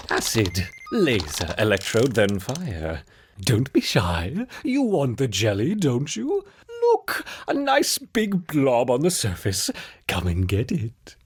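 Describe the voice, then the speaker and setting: A man playing a gleeful, obsessive mad scientist in a video game, with a husky voice and a theatrical, coaxing, menacing delivery. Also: medium pitch, heavily acted. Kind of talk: video game character monologue.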